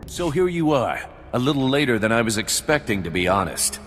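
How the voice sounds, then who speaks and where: An actor playing a bitter, contemptuous renegade soldier captain in a video game, sneering in plain colloquial speech. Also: male voice, medium pitch, very animated, a little fast, a bit bright. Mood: mocking. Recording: long hall reverb, faint music.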